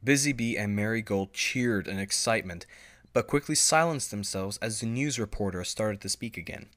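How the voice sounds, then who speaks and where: A man's voice: fairly low pitch, moderate pace, a bit bright. A man reading scripted story narration as the narrator.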